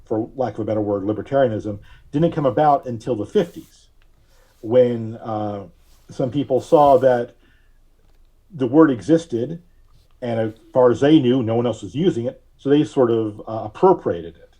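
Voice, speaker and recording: A man giving measured, carefully worded explanations as a podcast guest. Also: mid-range voice, mellow, husky. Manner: measured, deliberate, precise wording. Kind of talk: explanatory interview talk.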